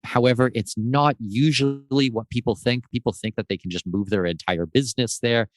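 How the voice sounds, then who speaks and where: A man speaking as a guest expert on a call, explaining residency and tax step by step in a measured, casual way. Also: mid-range voice, no room sound, studio quality. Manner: explanatory, measured, gives lists of examples. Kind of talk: casual interview answers.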